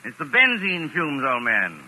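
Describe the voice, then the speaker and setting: A pompous, unflappable male novelist in a 1940s radio mystery. His voice is very bright and forceful, with lively swings of pitch. He declaims theatrically, as on an old broadcast. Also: measured pace, exaggerated voice. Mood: triumphant, taunting.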